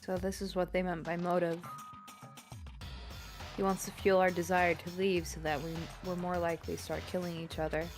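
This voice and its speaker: A serious, shrewd student, acted by a woman in a slightly low voice. The lines come out measured, as dialogue read from a visual novel. Soft music is faintly behind. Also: level tone, close to an everyday voice. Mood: grave.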